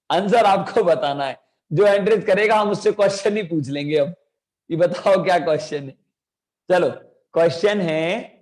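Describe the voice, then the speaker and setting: High-voiced man with a sore throat. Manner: energetic, coaxing kids to shout. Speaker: children's class teacher. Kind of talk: online children's class. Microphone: video call.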